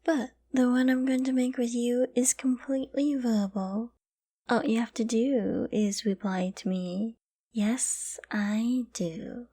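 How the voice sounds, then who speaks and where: A woman voicing a possessive, lovestruck, dominant fox-girl mage in an intimate ASMR roleplay, speaking softly and often dropping to a whisper. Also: fairly high pitch, fairly even intonation. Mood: calm, commanding. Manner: soft, slow, breathy, often dropping to a whisper.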